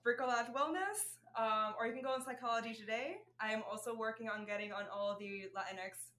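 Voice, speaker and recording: A woman's voice: on the high side, brisk, fairly bright tone, slight background noise. A young woman with a Puerto Rican accent, chatting casually as a guest on a podcast, laughing often and using many fillers. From Puerto Rico. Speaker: guest counselor. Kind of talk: casual chat.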